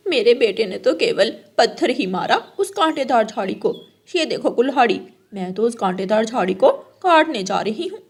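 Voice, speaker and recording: A woman's voice: on the high side, animated, moderate pace, hardly any pauses, husky. A woman voicing a stubborn, spiteful mother crow in a children's cartoon, scolding and haughty. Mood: mocking. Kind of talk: Hindi moral cartoon character acting.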